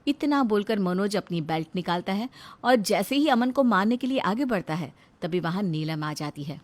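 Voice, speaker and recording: A woman's voice: medium pitch, animated, normal speed. A woman reading scripted narration as the storyteller of a family tale.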